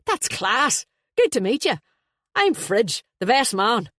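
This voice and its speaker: A woman narrator acts out a big, jovial Irish best man from a novel. He is chatty and emphatic, with slangy warmth and a raised, forceful delivery. The sound is close and dry. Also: on the high side, sing-song, slow pace, no echo, voice pushed up, full character voice. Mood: hearty.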